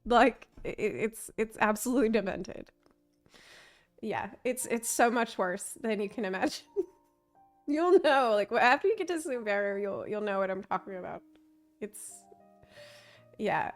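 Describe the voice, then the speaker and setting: A woman game streamer giving chatty, expressive, casual live commentary. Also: mid-range voice, normal speed, soft background music.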